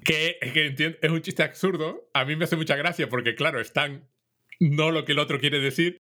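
A man's voice: on the high side, fairly even intonation, moderate pace, bright, forceful tone. A man co-hosting a podcast, recapping a cartoon episode in casual chat. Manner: recaps episode plot, quotes lines with little acting. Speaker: podcast co-host.

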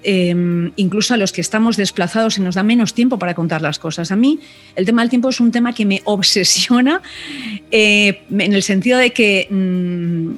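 A middle-aged woman from Spain, a TV news correspondent, talking in a relaxed interview and thinking aloud as she answers. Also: mid-range voice. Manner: thoughtful, reflective, many restarts and fillers.

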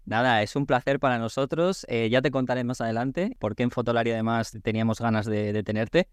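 A man's voice: mid-range voice, fairly quick, smooth, no room sound, clean studio sound. A man from hosting a podcast interview, chatty and reassuring, rephrasing his questions as he goes. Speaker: podcast host.

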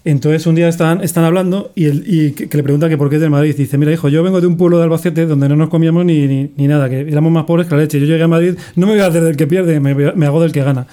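Rapid stammering man with mellow voice. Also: fairly high pitch, steady tone. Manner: rapid, stammering, enthusiastic storyteller. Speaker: podcast panelist. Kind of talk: casual football chat.

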